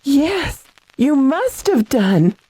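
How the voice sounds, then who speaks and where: A woman playing a haunted, hesitant young heiress in a radio horror drama, speaking in a halting, dramatic way. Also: sits high, sing-song, warm tone, no room sound, exaggerated voice. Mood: dazed, hesitant.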